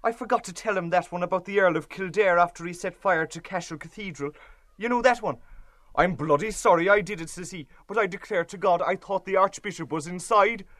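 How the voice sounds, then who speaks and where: Hearty Irishman, high voice, dry studio sound, exclamatory, chatty, colloquial, audiobook drama. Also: brisk, a bit bright, no room sound. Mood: amused, confiding. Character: hearty, blustering, chatty Dublin man showing a visitor round. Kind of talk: dramatised literary audiobook dialogue.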